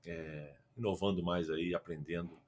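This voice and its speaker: Man, Carioca accent, low voice, young adult, casual podcast guest, rambling, lots of fillers. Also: steady tone, moderate pace, a little mellow, slight background noise. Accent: Carioca Portuguese. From Rio de Janeiro, Brazil. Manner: rambling, many restarts and fillers like "é" and "cara". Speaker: podcast guest, football content creator.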